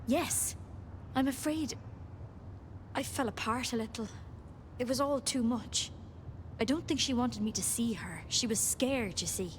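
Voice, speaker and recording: Woman playing a gentle, shaken, higher-voiced game healer. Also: slight background noise, close to an everyday voice. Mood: shaken, sad. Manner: halting, wistful. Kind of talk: video game voice acting.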